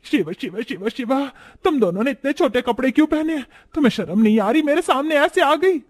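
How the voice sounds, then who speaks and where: A man voicing an older, traditional village mother-in-law who scolds and exclaims plaintively in an animated family story. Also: very high-pitched, brisk, voice pushed up. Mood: shocked.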